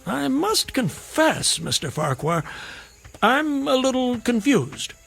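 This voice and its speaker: A wry, needling gentleman in a radio drama. His voice is high, gravelly and husky, and he dresses sly questions in ornate, theatrical courtesy. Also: very animated, slow, faint music behind, heavily acted. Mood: amused, probing.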